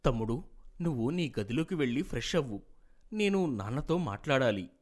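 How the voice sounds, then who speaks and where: A man's voice: medium pitch, animated, a little fast, close to an everyday voice. Man as a protective, reasoning elder brother. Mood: placating. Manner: reasoning, placating, advising. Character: responsible, protective, affectionate elder brother and dutiful son. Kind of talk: dramatised moral story for animation.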